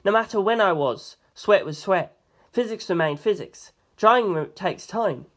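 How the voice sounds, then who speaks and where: Story narrator, mid-range pitch, reading first-person fiction audiobook-style. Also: animated, a little slow, a bit bright.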